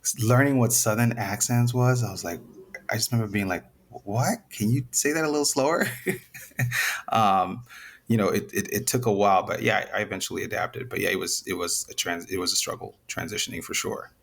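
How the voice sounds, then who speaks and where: A young man from Zambia, a guest in a casual interview, talking in a relaxed, rambling way with many restarts. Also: on the low side, brisk, creaky. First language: Nyanja.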